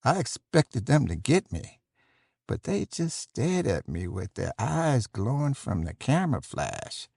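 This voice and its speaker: A man playing a haunted survivor, giving a measured, grave first-person horror narration in a gravelly, husky voice. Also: medium pitch, big pitch swings, darkish tone, no room sound, clean studio sound, full character voice. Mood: haunted, uneasy. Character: haunted male survivor recounting escape in analog horror.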